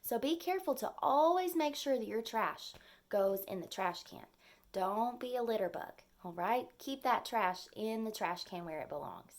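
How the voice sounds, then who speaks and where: A woman's voice: a little high, animated, normal speed. A woman reading and explaining a children's story expressively, in simple phrases aimed at young listeners.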